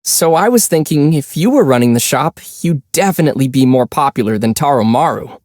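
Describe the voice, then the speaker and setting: A man voicing a calm young adventurer in a video game, easygoing and measured, with a gently wry, teasing manner. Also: a little high, no echo, clean studio sound, lightly acted. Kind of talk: video game character dialogue.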